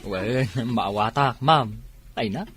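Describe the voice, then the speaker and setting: A man's voice: mid-range voice, slow, a bit bright, a little hiss or hum. A man with an Ilocano accent playing a deferential, curious, sympathetic driver in an audio drama. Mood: apologetic. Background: music.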